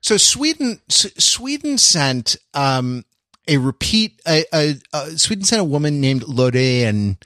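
A man hosting a podcast, talking casually about pop culture in a halting, wordy, explanatory way. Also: fairly high pitch, very animated, sharp tone, no echo. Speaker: podcast host.

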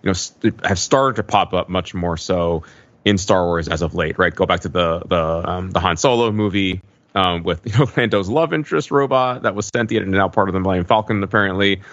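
A man chatting casually as a podcast panelist, in a halting, measured way with trailing asides. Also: sits low, fairly bright tone, no room sound, dull audio. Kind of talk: casual discussion of TV.

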